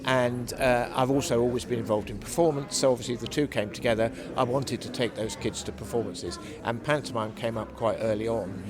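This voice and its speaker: A man giving conversational, explanatory interview answers in a measured way, as a panellist. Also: mid-range voice, fairly even intonation. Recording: busy venue, people chattering behind. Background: crowd chatter.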